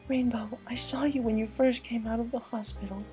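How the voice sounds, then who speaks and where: A woman's voice: fairly high pitch, steady tone, brisk, dark tone, faint music behind, natural voice. A woman voicing a grieving, anxious young female pony in a story, with a shaky, tearful, hesitant voice. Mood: fragile, pleading. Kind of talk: dramatic fan-fiction story reading.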